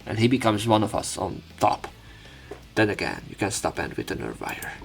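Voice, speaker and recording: A low-voiced man with a creaky, gravelly edge reads a visual novel aloud as a let's play host. His delivery moves between dramatic narration and casual commentary, with faint game music underneath. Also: big pitch swings, normal speed. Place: voice-over on game footage.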